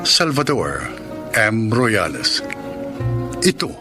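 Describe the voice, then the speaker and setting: A man's voice: lowish voice, big pitch swings, very slow. A man with a Filipino accent, formally announcing and narrating a scripted radio drama. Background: prominent music.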